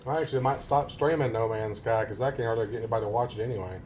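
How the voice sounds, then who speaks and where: A man's voice: medium pitch, racing, darkish tone, faint music behind. Joking Southern man chatting on stream. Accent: Southern US (Tennessee). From Tennessee, US. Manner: joking, rambling, chuckles often. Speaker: live streamer. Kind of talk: casual live-stream chat to viewers.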